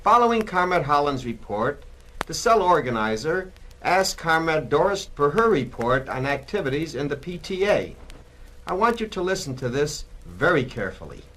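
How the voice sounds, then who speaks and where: A man's voice: fairly high pitch, big pitch swings, normal speed, bright, forceful tone, poor audio. A man narrating a scripted 1960s anti-communist documentary record in an emphatic, admonishing, oratorical manner.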